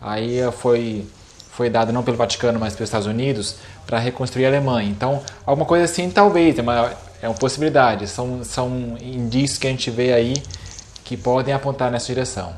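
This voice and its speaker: Man, Brazilian accent, mid-pitched voice, loose conversational lecture, lots of fillers. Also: fairly even intonation, quick pace, a little hiss or hum. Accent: Brazilian Portuguese. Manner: conversational, many fillers and tag questions. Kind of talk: casual religious lecture.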